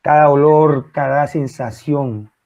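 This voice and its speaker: A man's voice: fairly high pitch, steady tone, slow, darkish tone. A man from Colombia with a Caribbean accent, answering in an interview as a writer, emphatic and repeating phrases for effect.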